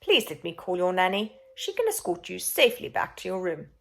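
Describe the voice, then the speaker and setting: Woman playing sinister male courtier, storybook villain, soft, slow, purring, condescending, coldly polite. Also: fairly low pitch, very animated, creaky, exaggerated voice. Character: smooth, unruffled, sinister male royal adviser, coldly polite. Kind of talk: children's storybook reading.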